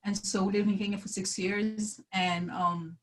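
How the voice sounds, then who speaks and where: A woman's voice: mid-range voice, little pitch movement, normal speed. A woman in her early twenties from the Democratic Republic of the Congo, a native French speaker, giving a personal account as a panelist in a hesitant, self-correcting way. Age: early 20s. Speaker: panelist, university student.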